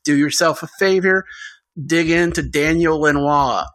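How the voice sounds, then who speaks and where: A man's voice: a little high, unhurried, fairly bright tone. A man hosting a podcast, talking in an enthusiastic, conversational way. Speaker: podcast host. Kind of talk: casual chat.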